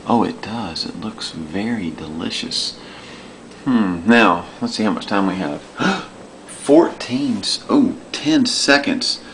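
A man filming in a home kitchen, gently coaching and asking playful questions from behind the camera. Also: lowish voice, animated, takes it slowly, slight background noise. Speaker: parent filming a child's cooking show. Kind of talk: casual home video chat. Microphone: handheld camcorder mic, off screen behind camera.

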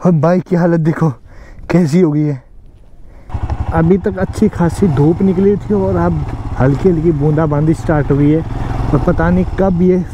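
A man's voice: a little high, fairly even intonation, moderate pace, dark tone, slightly rough. A man in his thirties from Delhi, chatting casually to his action camera while he rides a motorbike outdoors and points out the view. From Delhi, North India. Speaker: travel vlogger. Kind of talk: casual vlog narration. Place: outdoors, on a motorbike and on a hilltop by the sea. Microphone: action camera, helmet and selfie mount. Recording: wind and motorbike noise outdoors. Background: motorbike engine and traffic.

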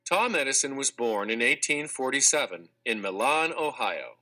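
A man narrating a children's textbook read-aloud in a clear, measured, expressive scripted delivery. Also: medium pitch. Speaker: audiobook narrator.

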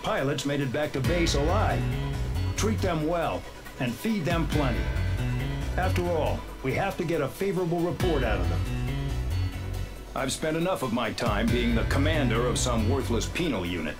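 A man's voice: mid-range voice, expressive, normal speed, lightly acted. An actor playing a pompous, contemptuous military commander of a penal unit in a video game cutscene, sneering as he speaks. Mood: smug contempt. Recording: loud music under the voice. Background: loud music.

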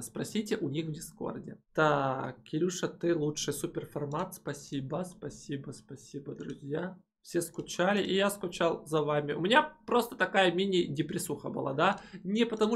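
A man's voice: on the high side, gravelly, husky. A young man from Ukraine hosting a crypto channel, chatting casually and emphatically as he answers viewer comments into a headset mic. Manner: animated, emphatic, with frequent filler "э".

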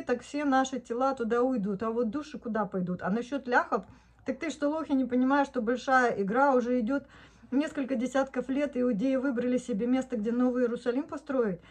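A woman in her fifties from Odesa, talking in a casual, indignant video diary at home into a phone camera, breaking into laughter. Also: sits high. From Odesa, Ukraine. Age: 50s. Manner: chatty and indignant, bursts into laughter. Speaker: vlogger. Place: living room at home, indoors. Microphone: phone camera at arm's length.

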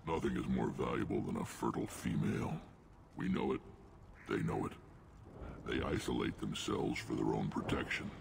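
A man voicing a gruff, battle-worn alien clan chief in a video game, blunt and commanding, with a gravelly rumble. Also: moderate pace, heavily acted. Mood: firm, proud.

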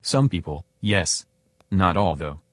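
A man plays an earnest chatbot companion for a podcast, agreeable and curious. The voice sits low, with a measured manner and a dry, close sound. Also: expressive, dry recording, full character voice.

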